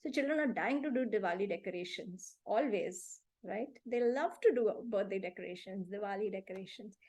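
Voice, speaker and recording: A middle-aged woman with an Indian accent speaks as a reading teacher in an online class. Her voice sits at an ordinary female pitch. She explains things emphatically and with firm opinions, in a conversational, animated way. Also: fairly quick. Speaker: reading teacher and parenting expert. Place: online video call.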